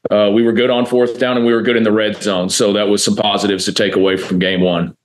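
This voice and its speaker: An American man in his thirties, a football coach answering press questions in a measured, matter-of-fact way. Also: fairly low pitch, level tone. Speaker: college football offensive coordinator. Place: indoor press room, seated at a table before a backdrop.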